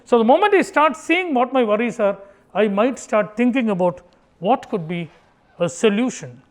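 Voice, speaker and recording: Animated high-voiced Indian man. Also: moderate pace, slight background noise. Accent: Indian English. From Kerala, India. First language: Tamil. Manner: animated, acts out family dialogue in Tamil. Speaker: speaker giving a motivational talk. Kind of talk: conversational public talk. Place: auditorium.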